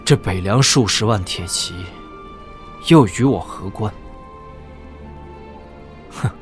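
A man playing a proud, bitter young lord turned swordsman in a wuxia audio drama, with a brooding, deliberate delivery. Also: lowish voice, faint music behind.

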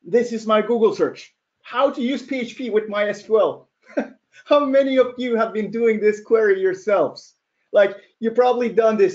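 Chatty Norwegian man giving a webinar. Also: sits high. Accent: slightly American-sounding. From Norway. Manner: chatty, laughs at own points, rhetorical questions. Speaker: webcast presenter. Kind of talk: casual live webinar presentation. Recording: dull lo-fi webinar audio.

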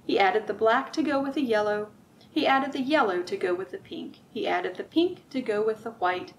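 A middle-aged woman reading aloud at a children's storytime, expressive and sing-song, drawing out rhymes and putting questions to young listeners. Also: medium pitch, normal speed, a little hiss or hum, poor audio. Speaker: children's librarian, storytime host. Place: library room with bookshelves, indoors.

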